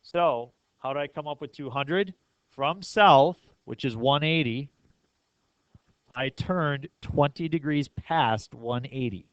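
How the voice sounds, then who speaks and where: A man's voice: a little high, takes it slowly, smooth, dry recording, dull audio. A man teaching a math class step by step, often checking understanding with questions. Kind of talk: classroom lesson, explaining step by step.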